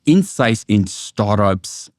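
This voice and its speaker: A middle-aged man from Indonesia and Singapore, an investment analyst, giving measured, reflective answers as an interview guest. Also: lowish voice, very animated, dry recording, studio quality.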